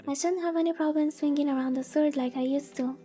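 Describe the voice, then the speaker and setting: Woman voicing a sickly young swordsman, visual novel, high soft mellow voice, wry, offhand, stoic, downplaying. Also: steady tone, quick pace, faint music behind, lightly acted. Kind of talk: visual novel read-aloud.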